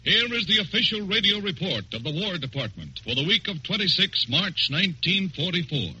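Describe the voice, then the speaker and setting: A man's voice: sits high, sing-song, a little fast, sharp tone, husky, rough recording. A man with an American accent announcing a scripted wartime radio program in a formal, declamatory style of the 1940s. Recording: vintage 1940s radio broadcast with music.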